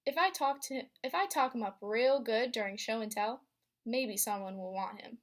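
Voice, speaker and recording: Young female student teacher, expressive picture-book reader, slightly high voice. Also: normal speed. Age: young adult. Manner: expressive storytelling with teacher questions. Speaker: student teacher reading aloud. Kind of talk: picture book read-aloud to a class.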